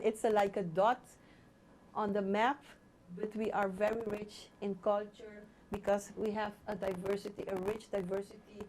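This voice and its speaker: A woman's voice: medium pitch, mellow, poor audio. A woman from Aruba, a Papiamento speaker, talking conversationally as a teacher in an interview.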